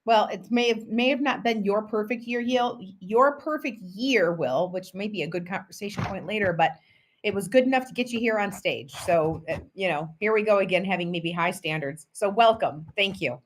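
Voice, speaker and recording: A woman's voice: medium pitch, moderate pace, a little hiss or hum. A middle-aged woman moderating an online panel, chatting casually with lots of ums and friendly asides. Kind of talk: hosting a virtual panel.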